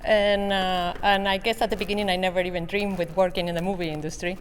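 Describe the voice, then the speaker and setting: A woman's voice: on the low side, level tone, fast. Argentine woman in an echoey hall. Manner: hesitant, many fillers. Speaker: panelist. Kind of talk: casual panel talk. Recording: reverberant convention hall with faint noise.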